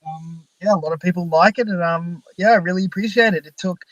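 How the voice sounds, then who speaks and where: A young man hosting a casual live stream chat in a halting, hesitant way. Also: high voice, no room sound, highs cut off. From Australia. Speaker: live stream host and fan-dub project leader.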